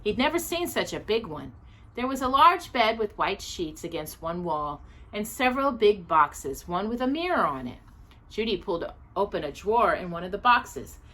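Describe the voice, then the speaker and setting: A woman reading a children's storybook aloud as an expressive narrator. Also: mid-range voice, normal speed, poor audio.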